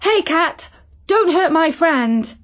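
A woman voicing a brave young girl who can do magic, speaking brightly and in a lively way. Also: very high voice, slow, lo-fi sound, pitched above the performer's own voice, heavily acted. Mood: urgent.

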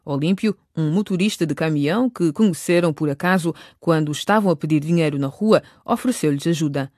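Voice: medium pitch, a little fast, darkish tone, dry recording, clean studio sound. A narrator reading scripted recaps and questions for a radio drama.

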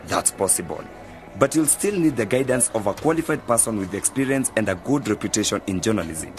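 An actor playing a young university student who patiently explains things in a measured way in an educational radio drama. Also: male voice, fairly low pitch, lively intonation, faint music behind, lightly acted. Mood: patient, instructive. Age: young adult. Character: calm, knowledgeable male university student mentor. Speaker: university journalism student mentoring novices.

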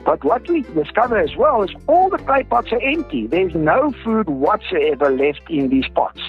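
A man's voice: high voice, very animated, moderate pace, husky. A man from South Africa with an Afrikaans accent, a native Afrikaans speaker, explaining things earnestly as an expert guest in an interview. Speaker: groundwater researcher, interview guest. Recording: dull lo-fi audio with music behind. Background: music.

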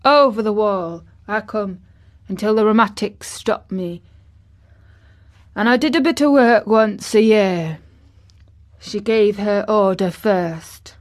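A woman's voice: medium pitch, slow, natural voice. A woman voicing a crabbed but tender old gardener with a Yorkshire accent, dry and grumpy, in a storybook reading. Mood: stubborn, dry. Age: older.

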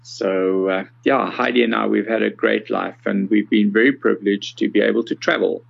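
Older south african guy in his 60s, very creaky deep voice, chatting in an interview, slightly muffled audio. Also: steady tone, moderate pace. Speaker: podcast guest, accessibility consultant. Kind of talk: conversational interview answers.

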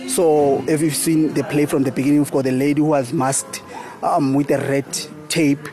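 Casting note: man from South Africa, theatre director, earnest, explains at length, music plays clearly behind him. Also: a little high, level tone, moderate pace, mellow. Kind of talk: interview talk.

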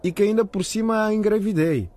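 A man's voice: high-pitched, brisk, mellow, close to an everyday voice. A man playing an evasive, worried teenage schoolboy in a colloquial radio drama. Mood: anxious. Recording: faint background noise.

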